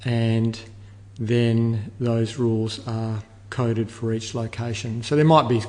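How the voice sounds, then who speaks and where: An older man giving measured, explanatory answers as an interview guest, repeating words as he thinks. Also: medium pitch, little pitch movement, darkish tone. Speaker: interview guest, air traffic control manager. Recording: long reverberant room echo.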